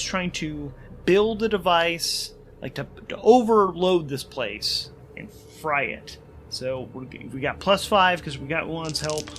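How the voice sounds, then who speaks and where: Higher-pitched man narrating a solo tabletop game. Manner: halting, repeats phrases as he reasons aloud. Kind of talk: thinking aloud through a solo role-playing game.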